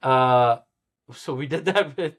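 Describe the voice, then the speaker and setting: A man's voice: mid-range voice, slow, fairly bright tone. A middle-aged man, a musician being interviewed, recalling his past in casual talk with many restarts and repeated words, often chuckling at himself. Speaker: guest musician.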